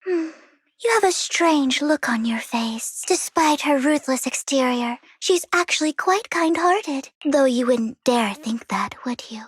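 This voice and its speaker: A woman playing an elegant, secretive, wealthy young lady in a video game, breathy and poised, with a teasing, deliberate manner. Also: high-pitched, animated, no echo. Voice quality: breathy, hushed. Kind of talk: video game character acting.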